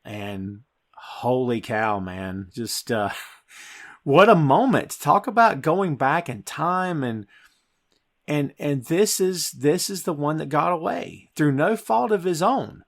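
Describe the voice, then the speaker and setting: A man hosting a film review podcast, talking to listeners in an emphatic, excitable way. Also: a little high, slow. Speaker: podcast host.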